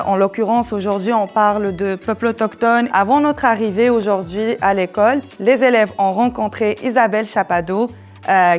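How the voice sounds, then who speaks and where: A woman gives an explanatory presentation as an educator, measured and a little hesitant. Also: medium pitch. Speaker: human rights education coordinator and presenter.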